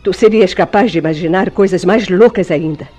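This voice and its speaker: A woman's voice: on the low side, brisk, darkish tone, lightly acted. An actress playing an evasive, indignant woman in a Brazilian radio soap opera, with formal, dramatic, defensive delivery. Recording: radio drama with background music.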